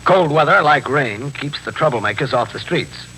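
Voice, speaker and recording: A man's voice: mid-range voice, expressive, moderate pace, pushed and bright, breathy edge. A man playing a businesslike middle-aged police captain in a 1950s radio drama, speaking in a clipped, formal, matter-of-fact way. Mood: measured, official. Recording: noisy 1950s radio recording.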